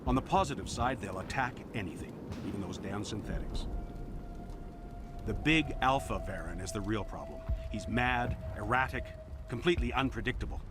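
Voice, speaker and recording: A man playing a weary, gruff colonist in a science fiction game, terse and guarded. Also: fairly low pitch, expressive, moderate pace, music in the background, lightly acted. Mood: grim, frustrated. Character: weary, gruff male space colonist and hunter.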